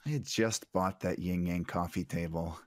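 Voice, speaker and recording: A man playing an earnest, excitable young male superhero in a comic-book cartoon. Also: low-pitched, moderate pace, lightly acted. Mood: casual, exasperated.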